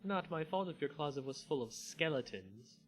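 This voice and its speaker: A man's voice: fairly high pitch, lively intonation, moderate pace, lightly acted. Fantasy storybook necromancer woman, read by a man: smug, cool, defiant, taunting, light music behind. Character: smirking, defiant female necromancer mage. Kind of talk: dramatic fantasy story reading.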